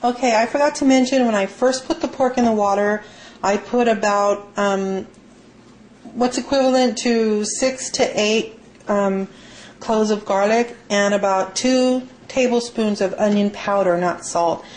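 A woman's voice: mid-range voice, a little slow, darkish tone. A woman giving a casual, rambling, unscripted cooking demonstration as a home cook in her kitchen. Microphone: handheld camera mic. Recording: noisy amateur camera audio with clicks.

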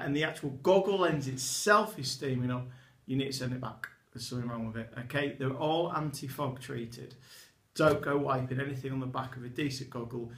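A man's voice: on the high side, pushed and bright, slight background noise. A man in his thirties from England, an eyewear retailer talking enthusiastically to camera across a desk while he demonstrates products. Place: small indoor office with a poster behind a desk. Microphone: camera in front at desk distance. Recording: echoey small room.

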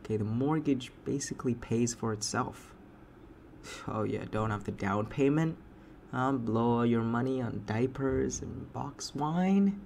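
A man's voice: mid-range voice, a little slow, creaky, darkish tone, slight background noise. A man playing a smug, cruel landlord in a satirical roleplay monologue, taunting and condescending, with mocking interjections. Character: smug, cruel landlord sneering at a struggling tenant.

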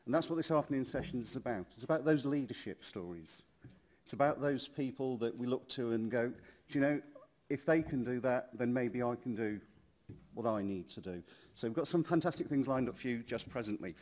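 A man's voice: medium pitch, lively intonation, a little fast, darkish tone. A man chairing a conference session, introducing speakers in a genial, chatty way with many ums, at a lectern in a large hall.

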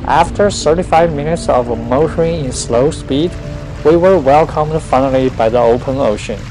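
A man giving a scripted travel narration for a sailing vlog, speaking in measured, separate phrases. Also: on the high side, a little mellow, rough recording. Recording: music under the voice. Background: background music.

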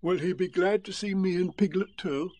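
A man reading a storybook aloud, voicing a slow, dreamy, muddled male teddy bear. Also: sits high, pitched above the performer's own voice. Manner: slow, vague, gentle.

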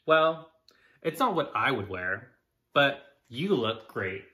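A man voicing a measured, supportive father in a children's storybook, in a thoughtful manner. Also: medium pitch, big pitch swings, bright, forceful tone, full character voice. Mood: cautiously supportive.

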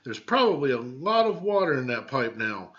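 A man voicing a gleefully morbid young girl beetle in a storybook, with dramatic, drawn-out teasing. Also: mid-range voice, sing-song, a bit bright, highs cut off.

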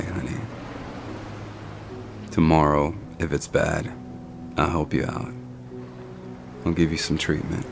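A young man plays a tender, doting boyfriend in an ASMR role-play. His very low voice is full of vocal fry and moves slowly, in a soft, intimate murmur with long pauses. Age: young adult.

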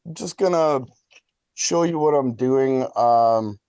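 A man's voice: medium pitch, takes it slowly, darkish tone, clean tone. A middle-aged man giving a casual live demonstration on a video call as a hobby experimenter. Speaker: hobby experimenter, guest presenter. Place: indoor room, video call.

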